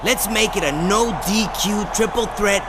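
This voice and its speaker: Male actor for eager rival in a wrestling game, high voice, very noisy background. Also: steady tone, normal speed, lightly acted. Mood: goading. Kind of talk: video game cutscene acting. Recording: loud background noise.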